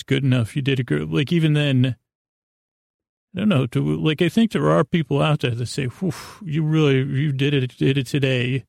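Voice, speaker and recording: A man hosting a sleep podcast with a rambling, halting improvised monologue, often stammering, interrupting himself and arguing with himself in a self-deprecating way. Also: mid-range voice, vocal fry, darkish tone, no echo, clean studio sound. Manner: self-deprecating, acting out inner dialogue. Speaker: podcast host.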